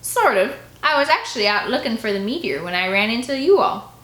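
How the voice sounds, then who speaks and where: A woman's voice: a little high, sing-song, fairly quick, fairly bright tone, roomy sound, voice pushed up. A woman voicing a cocky, mocking teenage boy in an audiobook. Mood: evasive.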